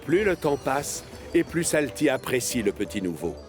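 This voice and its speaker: A man narrating a scripted children's story as an expressive storyteller. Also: mid-range voice, moderate pace. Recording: music behind narration. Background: music.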